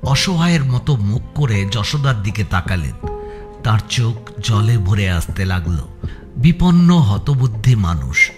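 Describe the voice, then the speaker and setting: A man's voice: lowish voice, sing-song, measured pace. A man narrating a suspense audio story in a dramatic, scripted storytelling style. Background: faint background music.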